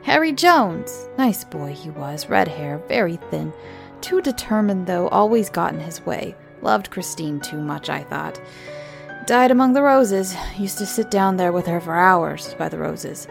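A woman's voice: fairly low pitch, lively intonation, measured pace, vocal fry, faint music behind. A woman voicing a crazed, bitter old woman in her seventies or older who rambles about the dead. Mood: eerie, brooding. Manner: rambling, fixated.

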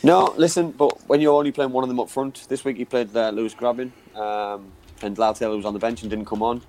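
A man's voice: medium pitch, fairly even intonation, quick pace, a little mellow, slight background noise. A man giving football analysis on a chat show, often repeating words and saying you know what I mean. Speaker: football pundit.

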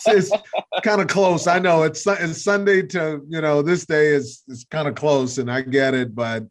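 An American man hosting a casual interview, affirming his guest and feeling his way haltingly into questions. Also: on the high side, steady tone.

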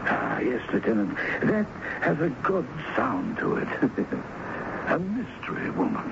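An actor playing a wry, talkative theatre producer under questioning in an old-time radio drama, with hesitant asides and dry chuckles. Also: male voice, mid-range voice, big pitch swings, slow, vocal fry, exaggerated voice. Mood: gossipy, amused. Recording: dull, noisy vintage radio recording.

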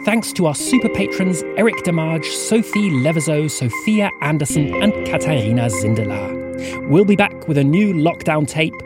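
Male podcast announcer, animated intonation, scripted credits and appeal over prominent music. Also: a little high, normal speed. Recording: music under the voice.

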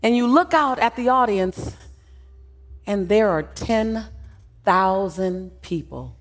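Woman leading a guided visualization. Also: medium pitch. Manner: soft and slow in the calm parts, rising and loud in the crowd's thanks.

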